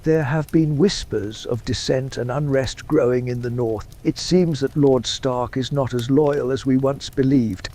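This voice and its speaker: A man voicing a sly, cunning male spymaster who speaks in a hushed, conspiratorial way, in an ASMR roleplay story. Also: medium pitch, a little slow, few pauses, slight background noise, voice pushed up, close to an everyday voice.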